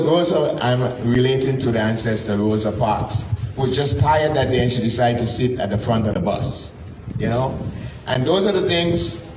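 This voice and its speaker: A man hosts a community talk night, introducing the next speaker in loose, rambling sentences. His voice is heard from a distance, with room echo and steady background noise around him. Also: mid-range voice. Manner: rambling, informal. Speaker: host. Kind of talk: event host introduction. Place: event room. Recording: echoey room, distant mic, noisy.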